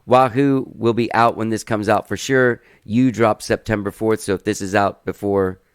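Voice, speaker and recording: A man's voice: on the low side, fairly even intonation, normal speed, smooth, no echo, studio quality. A man hosting a podcast in casual chat, talking loosely with plenty of filler words. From Cuban family. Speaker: podcast host.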